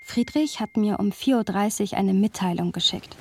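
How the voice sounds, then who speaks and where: A woman's voice: mid-range voice, normal speed, a little hiss or hum, natural voice. An actress playing a reflective young woman who narrates a radio play in literary style. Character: reflective young woman narrating her friendship in first person.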